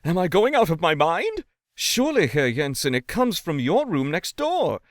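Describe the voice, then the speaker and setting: Guy doing an angry flustered lawyer in a ghost story, high voice, sharp and insistent, swoops around. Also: sing-song, moderate pace, no echo, clean studio sound. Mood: angry, alarmed. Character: agitated, indignant male lawyer demanding answers.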